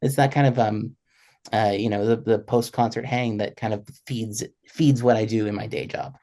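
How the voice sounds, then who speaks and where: A man's voice: on the low side, fairly even intonation, moderate pace, warm tone. A man speaking as a panelist in an unscripted discussion, thinking aloud and rephrasing as he goes. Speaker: panelist, radio broadcaster.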